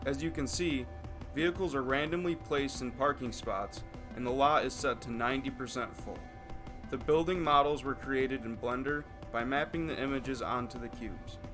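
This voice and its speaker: A man narrates a game demo from a script. His voice is mid-pitched, with music playing clearly behind it. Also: normal speed.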